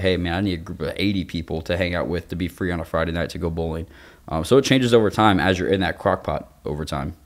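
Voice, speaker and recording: A man in his twenties with a very low-pitched voice, speaking on a young adult ministry podcast. He teaches in a loose, conversational style, using youthful slang and everyday examples to explain things. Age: 20s. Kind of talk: casual faith discussion with teaching.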